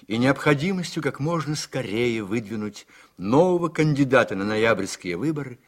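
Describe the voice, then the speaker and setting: A man with a husky voice narrating a radio play in a dramatic, scripted storytelling style. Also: medium pitch.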